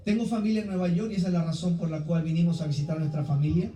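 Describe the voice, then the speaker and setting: A man's voice: a little high, fairly even intonation, racing, mellow. A middle-aged man with an Ecuadorian Spanish accent speaking as a guest preacher to a church congregation. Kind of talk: sermon with personal introduction. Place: church hall, recorded from a distance. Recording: roomy, distant mic, faint background noise.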